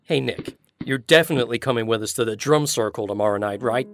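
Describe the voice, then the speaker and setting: An actor playing a boisterous, laddish young college student in a radio drama. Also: male voice, mid-range voice, fast, fairly bright tone, soft background music, close to an everyday voice. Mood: coaxing. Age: young adult.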